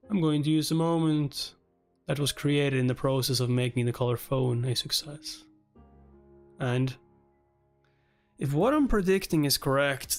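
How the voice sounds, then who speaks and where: Higher-pitched man reading and reacting, music behind. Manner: informal, swears casually. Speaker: livestreamer reading a webtoon aloud and reacting. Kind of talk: casual live reaction and read-along, chatting with viewers. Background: background music.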